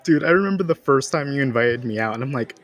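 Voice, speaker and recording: A man's voice: mid-range voice, lively intonation, quick pace. A young man chatting easily as a podcast co-host. He is laid-back, swears freely and laughs a lot. A faint crowd murmur sits in the background. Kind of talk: casual chat.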